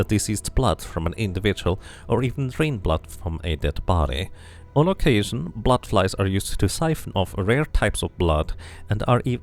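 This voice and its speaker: A man's voice: lowish voice, creaky. A man doing a casual game playthrough, commenting and reading the game's text aloud. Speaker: game streamer.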